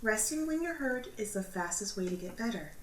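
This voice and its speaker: A woman's voice: a little high, animated, fairly quick. Teasing, caring spider woman, played by a woman, roomy echo. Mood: firm, caring. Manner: gently teasing, amused, motherly coaxing. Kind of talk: ASMR roleplay. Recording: roomy echo, audible background noise.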